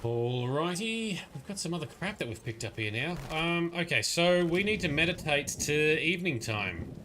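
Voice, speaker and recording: Chatty bright-voiced male streamer. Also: on the high side, big pitch swings, normal speed, a little hiss or hum. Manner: chatty, rambling, muttering asides and complaints. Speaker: livestreamer playing a game. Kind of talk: casual live gameplay commentary.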